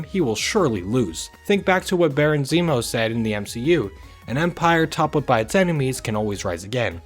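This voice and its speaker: A man's voice: mid-range voice, husky. Informal man giving opinionated commentary over music. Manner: informal, opinionated, occasional swearing. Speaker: host of a video review channel. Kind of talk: scripted video essay commentary. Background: music under the voice.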